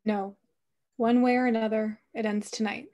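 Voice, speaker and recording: A woman playing a calm, sorrowful, vengeful woman in a table read of an animated film script. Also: on the high side, normal speed, lo-fi sound, close to an everyday voice. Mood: sad resolve.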